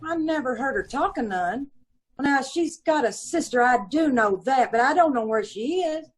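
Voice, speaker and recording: A woman's voice: sits high, animated, moderate pace, slightly rough. A woman playing a gossipy, aggrieved middle-aged landlady and mother of five, talking folksy and ungrammatical in old-time radio style. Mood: grudging. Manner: folksy ungrammatical talk, indignant and self-pitying. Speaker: witness in radio police drama.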